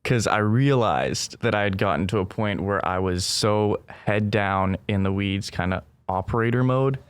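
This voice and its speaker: A young man who grew up in Brazil talks through his answers in an earnest, thoughtful way, often checking in with a quick "right?". His voice sits a little low and sounds smooth and resonant, and the recording is close and dry. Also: no room sound. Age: young adult. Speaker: guest. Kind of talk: casual conversational interview.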